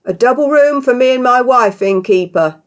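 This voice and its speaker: A woman's voice: on the high side, animated, moderate pace, voice pushed up, close to an everyday voice. A woman voicing a domineering, bad-tempered bearded man in a story, blustering, demanding and curt. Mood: demanding, pompous.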